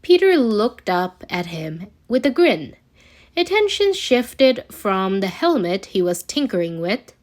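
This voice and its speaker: A woman reading a scripted story aloud as an amateur narrator, expressive but a little halting, with occasional stumbles. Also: medium pitch. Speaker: amateur fan fiction reader.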